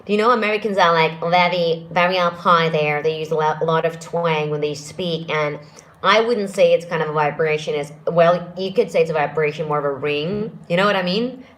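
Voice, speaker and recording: Deep-voiced female vocal coach, relaxed live Q&A delivery, low-ish register. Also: a little fast. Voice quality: deep speaking voice. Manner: demonstrates vocal exercises, reads out viewer questions. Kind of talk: live Q&A singing lesson.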